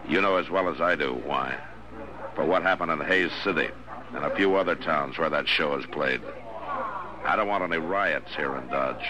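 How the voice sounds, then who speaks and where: An actor playing a firm, level-headed American town marshal, terse and authoritative, in a 1950s radio western. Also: male voice, very deep voice, moderate pace, highs cut off, a crowd in the background. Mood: firm, stern. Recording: noisy old radio broadcast recording.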